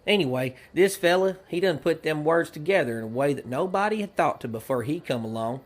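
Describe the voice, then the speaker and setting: A higher-pitched young cowboy sidekick played by a man rambles along in a rural Western drawl. He is cheerful, simple and guileless, the folksy fool of a western comedy radio play. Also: lively intonation, a little fast. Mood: proud, rambling. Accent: rural American Western drawl. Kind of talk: western comedy audio drama acting.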